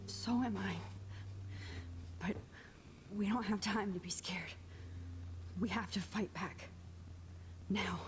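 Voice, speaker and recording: An actress playing a determined young woman held captive in a video game, speaking in a hushed, urgent way. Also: mid-range voice, fairly even intonation, slow, long pauses, soft background music, natural voice. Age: young adult.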